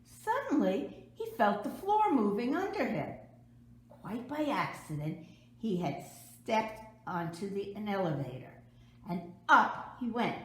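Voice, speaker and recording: A woman reading a children's story aloud in an expressive, dramatic narrator's style, recorded in an echoey room. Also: medium pitch, a little slow. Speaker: storybook reader.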